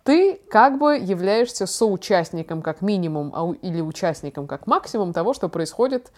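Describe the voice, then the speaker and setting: A woman's voice: fairly low pitch, animated, moderate pace, a little mellow. A woman hosting a podcast in casual conversation, chatty and given to explaining things as she goes. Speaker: podcast host.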